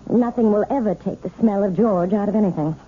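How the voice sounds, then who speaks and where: An actress playing a genteel woman with a sinister secret, speaking sweetly with an eerie calm, in a 1970s radio drama. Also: medium pitch, quick pace, natural voice. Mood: cooing. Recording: lo-fi 1970s radio broadcast with hiss.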